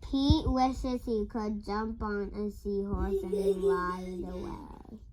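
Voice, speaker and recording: A young girl reading a picture book aloud in a halting, word-by-word way. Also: a little high, lively intonation, noisy background. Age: child. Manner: halting, word-by-word early-reader delivery. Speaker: young reader.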